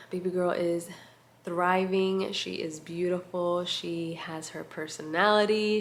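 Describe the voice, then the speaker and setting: A woman, talking to the camera in a casual catch-up vlog. She sounds nasal and congested, and she speaks softly and reflectively with many pauses and fillers. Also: fairly low pitch, slight background noise. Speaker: vlogger. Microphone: compact camera mic.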